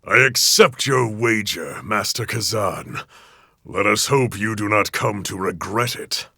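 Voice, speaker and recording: An actor playing a pompous, scheming rich arena master who announces in a grandiose, drawn-out way in a video game. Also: male voice, on the low side, vocal fry, bright, forceful tone, slightly rough, no room sound, studio quality, heavily acted. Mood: cool, veiled menace. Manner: theatrical, grandiose, drawn-out delivery.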